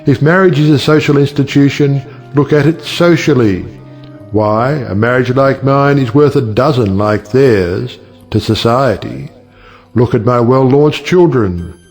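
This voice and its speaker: A witty, cynical middle-aged judge played by a male actor holds forth in a stage-play style. He is sardonic and rhetorical, lecturing his family in a medium-pitched voice, with music behind him. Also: a little slow, darkish tone, natural voice. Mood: earnest, argumentative. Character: witty cynical older uncle, a judge who lectures on marriage. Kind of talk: stage play audiobook acting.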